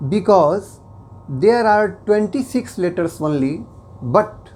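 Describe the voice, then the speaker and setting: A native Hindi speaker teaching English in a slow, deliberate way, explaining phrase by phrase and at times switching into Hindi. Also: medium pitch, dark tone. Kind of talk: explanatory lesson.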